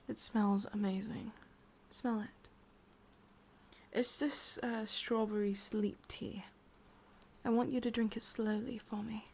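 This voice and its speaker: A woman with an English accent voicing a possessive, sadistic witch in a slow, breathy ASMR roleplay whisper. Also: fairly high pitch, steady tone, darkish tone, slight background noise. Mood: coaxing, doting. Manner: slow, intimate, teasing. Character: sadistic, possessive witch, softly menacing and doting.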